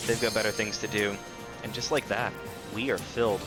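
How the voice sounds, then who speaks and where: Chatty man streaming over loud music. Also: fairly low pitch, flat delivery, fairly quick. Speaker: video game livestreamer. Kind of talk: casual live gaming commentary, chatting to viewers. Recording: music behind the voice. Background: loud game music.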